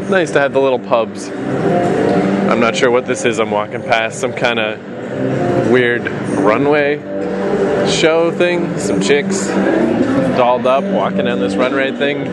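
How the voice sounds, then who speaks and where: A man in his late twenties walking through a rainy city, musing casually into his camera and swearing freely. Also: medium pitch. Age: 20s. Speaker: vlogger. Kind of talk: walking vlog, rambling reflection. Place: outdoors on rainy city streets. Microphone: handheld camera mic. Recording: outdoor camera audio with street noise. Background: street and traffic noise.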